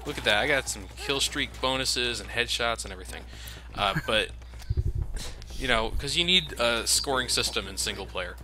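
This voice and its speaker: A man's voice: medium pitch, lively intonation, normal speed. A man giving casual, sardonic, sweary commentary as he plays a video game. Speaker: video game let's player and host.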